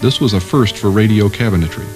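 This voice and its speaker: A man's voice: on the low side, quick pace. A man reading scripted documentary narration. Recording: music under the voice. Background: music.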